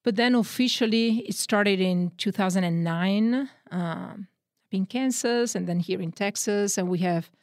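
A woman from northern Italy with an Italian accent, a religious sister talking as a radio guest, relaxed with fillers and light jokes. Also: mid-range voice, a little slow, no room sound, clean studio sound. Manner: warm joking, fillers like um and uh. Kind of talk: radio interview. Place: radio studio.

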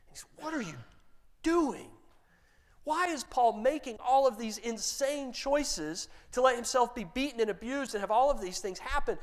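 Male preacher, higher register, animated storyteller voicing characters in his own voice. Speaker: pastor. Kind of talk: sermon storytelling.

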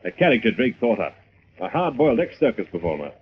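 Man acting suave gentleman detective, fast and lively, assured, argumentative, old radio drama, muffled recording. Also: mid-range voice, a little hiss or hum, lightly acted. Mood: confident argument. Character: calm, confident, suave gentleman amateur sleuth.

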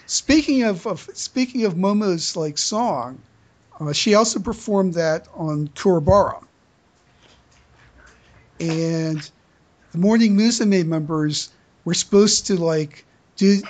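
A podcast host discusses news in a casual, earnest way. The speech is halting, with words repeated mid-sentence, in a medium-pitched voice. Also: darkish tone.

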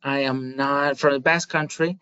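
Spanish-accented man, smooth and thoughtful. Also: medium pitch, steady tone, moderate pace, pushed and bright, no echo. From Spain. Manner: thoughtful, many fillers and restarts. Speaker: guest, market research manager. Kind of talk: podcast interview. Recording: dry, slightly dull audio.

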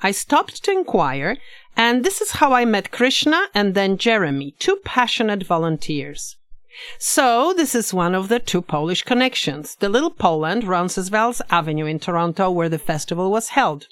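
A woman hosting a podcast, reading a scripted introduction in a lively, expressive way. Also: mid-range voice, moderate pace, no room sound, studio quality. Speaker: podcast host. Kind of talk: scripted narration.

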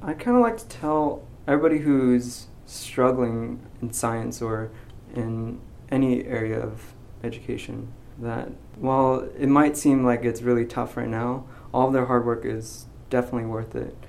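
A young man in his twenties speaks in a relaxed interview, soft-spoken and halting, restarting his sentences and filling gaps with ums. His pitch sits in an ordinary middle range. A faint hiss runs under the recording. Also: vocal fry. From United States. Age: 20s. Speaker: graduating physics student. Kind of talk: casual interview.

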